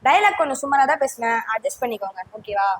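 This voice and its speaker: A woman's voice: high voice, expressive, fast, a little hiss or hum, low-quality recording. A woman hosting a challenge show in casual, playful chat with a teasing, informal manner.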